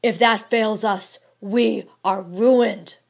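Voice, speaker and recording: Stern proud scientist husband, read by a woman, slow and formal, old-timey way of talking. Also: mid-range voice, steady tone, creaky. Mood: earnest.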